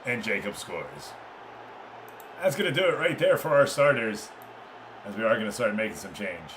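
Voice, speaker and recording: A man's voice: fairly low pitch, big pitch swings, normal speed, a bit bright, slight background noise. A man doing live, informal play-by-play of a football game, chatting casually with viewers between passes. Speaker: streamer commentating a football management game.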